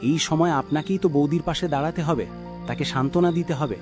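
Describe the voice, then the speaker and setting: A man's voice: a little high, fast, music in the background, close to an everyday voice. A man playing a sympathetic, tactful family acquaintance in a cartoon. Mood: consoling. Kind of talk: cartoon voice acting.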